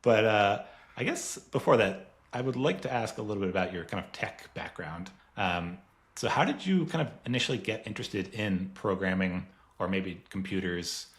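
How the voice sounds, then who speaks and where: A man's voice: on the low side, expressive, moderate pace. A man hosting a podcast, welcoming his guest and asking long, meandering questions. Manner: hesitant questions full of I guess and like. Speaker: podcast host. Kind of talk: podcast interview.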